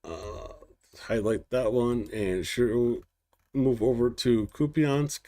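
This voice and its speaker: A man hosting a daily news stream, reading war reports aloud slowly and stumbling over hard foreign place names, with casual asides. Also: medium pitch, warm tone. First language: English. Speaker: news show host.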